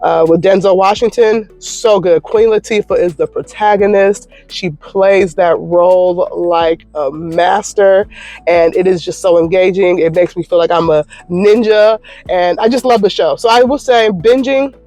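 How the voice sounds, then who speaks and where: An upbeat woman in her thirties talks casually and warmly to her viewers, chatty and encouraging. She records from a parked car into a phone, and the sound is close and dry. Soft music plays faintly underneath. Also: lowish voice, steady tone, measured pace, dry recording. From Michigan, United States. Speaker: YouTuber. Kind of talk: casual Q&A vlog. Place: parked car in a parking lot.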